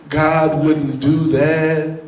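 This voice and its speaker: A middle-aged man preaching a sermon at a pulpit with a handheld mic, reading scripture and breaking into emphatic shouted lines. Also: on the high side, flat delivery, drawn-out words, recorded from a distance. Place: large church hall with a pulpit. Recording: echoey hall, heard from afar. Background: congregation responding.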